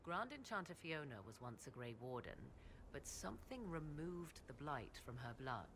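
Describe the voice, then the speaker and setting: An actress playing a proud, sardonic sorceress in a video game, with archaic, formal, haughty diction. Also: female voice, low-pitched, big pitch swings, moderate pace, a bit bright, slight background noise, full character voice. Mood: composed, wistful. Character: proud, sardonic sorceress, devoted mother and lover. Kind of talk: dramatic video game dialogue.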